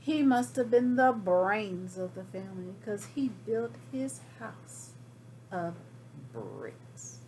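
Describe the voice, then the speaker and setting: A woman reading a children's storybook aloud in an expressive storytelling style. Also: medium pitch, slow, a little hiss or hum. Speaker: storybook reader.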